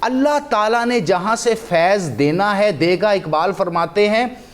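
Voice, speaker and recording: A man's voice: high voice, lively intonation, measured pace. A man with a Pakistani accent giving impassioned, emphatic religious and historical commentary as a talk show guest, building his points through repetition.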